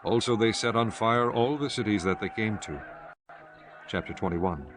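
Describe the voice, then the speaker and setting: A man narrating scripture for an audiobook in a formal, measured storytelling manner. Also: lowish voice, music in the background.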